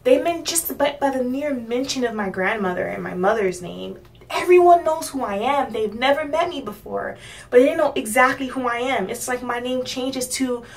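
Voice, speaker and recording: A young woman from Miami talking casually to her channel's viewers, rambling with fillers and soft laughs. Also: a little high, expressive, moderate pace, far from the mic. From Miami, United States. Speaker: vlogger. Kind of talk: casual talk to camera.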